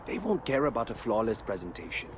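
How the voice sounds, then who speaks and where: Lively, confident businessman. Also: lowish voice, fast talker, a little hiss or hum. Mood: encouraging. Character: breezy, confident male office worker coaching a colleague. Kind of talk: video game background dialogue.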